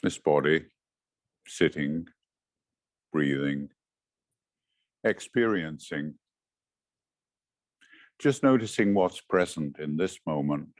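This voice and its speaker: A man with a low voice guides a meditation in short, measured phrases. He speaks very slowly and gently, leaving space between instructions. The sound is dry, with little room in it. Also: lively intonation, dry recording. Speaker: meditation teacher.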